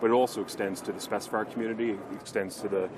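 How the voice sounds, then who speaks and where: Low, even-toned man in corporate interview. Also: rapid-fire. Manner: earnest corporate sales talk. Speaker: company representative being interviewed. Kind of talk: informal business interview at a trade show. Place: trade show floor. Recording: clear show-floor background noise.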